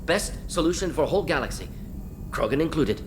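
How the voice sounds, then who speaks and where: Brisk alien scientist with clipped delivery. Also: male voice, on the high side, expressive, noisy background. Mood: defensive, emphatic. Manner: clipped telegraphic phrases, rapid-fire listing. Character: brisk, brainy alien salarian scientist, male, pragmatic. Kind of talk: video game dialogue acting.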